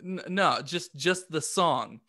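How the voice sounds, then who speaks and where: A man chatting casually as a podcast co-host, halting and repeating words as he works out his thoughts, often self-deprecating. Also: a little high, animated, bright, forceful tone. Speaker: podcast co-host and amateur musician. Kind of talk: casual group chat.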